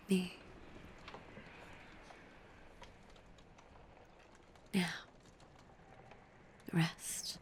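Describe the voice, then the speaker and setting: A woman voicing a possessive, obsessively loving female werewolf in an intimate, breathy, softly menacing ASMR roleplay. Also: medium pitch, slight background noise. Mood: soothing, possessive. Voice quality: breathy, whispery.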